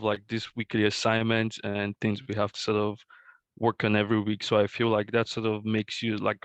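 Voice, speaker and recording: A man from Nigeria talks in a low, even voice, easy-going and sprinkled with fillers. He is a graduate student answering webinar questions over a video call, and the sound is dry and close. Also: clear, resonant tone, no room sound. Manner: relaxed, many fillers like um and like. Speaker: current online graduate student.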